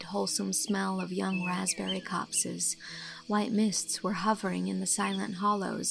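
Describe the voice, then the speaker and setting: A woman reading literary fiction as an audiobook narrator, in an expressive scripted storytelling style. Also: mid-range voice, creaky, poor audio.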